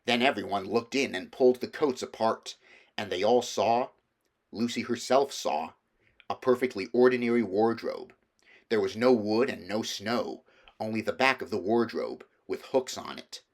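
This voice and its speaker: A man's voice: fairly low pitch, normal speed. A man reading a children's novel aloud as scripted storybook narration. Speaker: audiobook reader.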